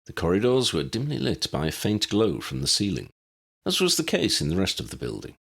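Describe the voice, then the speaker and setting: A deep-voiced man narrates an adventure audiobook. His delivery swings widely in pitch, and the voice has a husky, gravelly grain throughout. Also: wildly swooping pitch, brisk, fairly bright tone. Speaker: audiobook narrator, fan fiction author. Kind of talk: scripted story narration with character dialogue.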